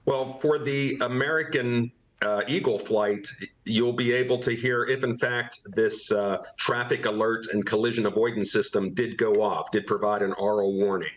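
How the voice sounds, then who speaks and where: A man speaking as an expert guest in a news interview, analysing in a careful, measured way. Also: on the low side, fairly even intonation, poor audio. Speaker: expert guest, former investigator.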